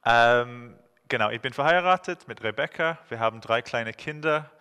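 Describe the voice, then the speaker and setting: A man's voice: mid-range voice, moderate pace, bright, forceful tone, clean tone. A man in his thirties with an English accent, preaching a friendly, joking sermon with frequent hesitations. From England. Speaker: guest preacher. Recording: long hall reverb.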